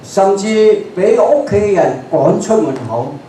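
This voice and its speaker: A man with a Hong Kong Cantonese accent preaches in short, emphatic bursts, stopping after each for an interpreter. His voice is mellow and fairly high for a man. It is picked up from a distance in a very echoey church hall with a faint hiss. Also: sing-song. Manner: emphatic, speaks in short phrases and pauses for the interpreter. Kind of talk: bilingual sermon.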